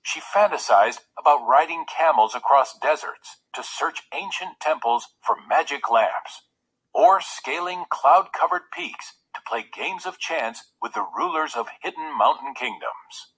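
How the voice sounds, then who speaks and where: Animated male storybook narrator, slightly creaky. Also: on the high side, a little slow, low-quality recording. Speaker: read-aloud narrator. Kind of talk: scripted storybook narration for children.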